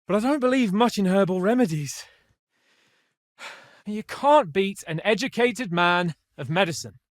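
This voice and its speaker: An actor playing a hot-headed, haughty young medieval nobleman in a video game, theatrical and quick to turn indignant. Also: high-pitched, expressive, no echo, studio quality. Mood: airy, opinionated. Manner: foul-mouthed, indignant, theatrical. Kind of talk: video game character voice lines.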